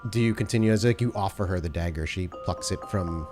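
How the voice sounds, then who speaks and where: A man's voice: deep voice, fast talker. A man narrating an improvised tabletop role-playing game as its game master. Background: background music.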